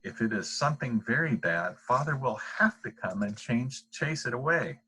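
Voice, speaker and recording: A man's voice: medium pitch, animated, normal speed, bright, forceful tone, husky, rough recording. A man reading the part of an anxious, imaginative little girl in a bedtime storybook, worried and inquisitive.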